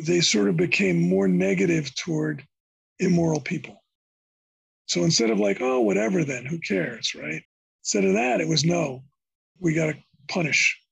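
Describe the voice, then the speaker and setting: Gravelly older man, husky and creaky. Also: on the high side, fairly bright tone, low-quality recording. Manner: thoughtful, meandering, restarts phrases. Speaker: interview guest, psychology professor. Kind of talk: conversational podcast interview.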